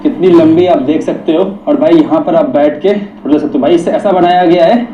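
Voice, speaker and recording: A man's voice: a little high, a little fast. A man in his twenties with an Indian accent, chatting casually as a travel vlogger who walks outdoors, mixing in broken English and calling viewers brother. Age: 20s. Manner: chatty, says "bhai" often, switches between Hindi and broken English. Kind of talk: casual travel vlog narration. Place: outdoors in streets. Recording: echoey, distant, dull with faint noise.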